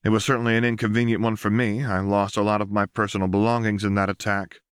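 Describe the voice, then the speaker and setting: A man's voice: on the low side, level tone, clean tone, no echo, clean studio sound, natural voice. A man voicing a courteous, determined female secret operative with formal diction in a story reading. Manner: polite, formal, measured diction.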